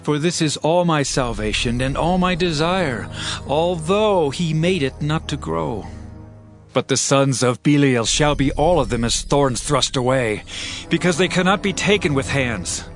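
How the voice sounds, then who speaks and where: Aging poet-king for scripture drama. Slightly high register, reverent and grave declamation, music underneath. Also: animated, measured pace. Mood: solemn, reflective. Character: King David, aging psalmist king, solemn and devout.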